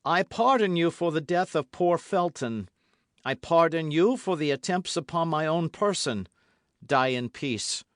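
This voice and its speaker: A man's voice: on the high side, wildly swooping pitch, unhurried, hardly any pauses, a bit bright, dry recording, studio quality, voice pushed up, exaggerated voice. A man voicing an English lord who speaks in a raised, insistent, accusing way. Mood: forceful. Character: indignant English lord, forceful.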